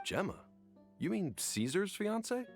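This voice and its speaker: A man's voice: sits high, very animated, takes it slowly, faint music behind, exaggerated voice. An actor playing a sympathetic, wistful townsman in a video game.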